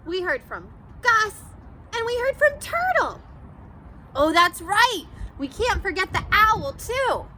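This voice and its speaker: A woman teacher doing an interactive read-aloud for children, animated and encouraging, asking questions and pausing for answers. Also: very high-pitched, a little hiss or hum.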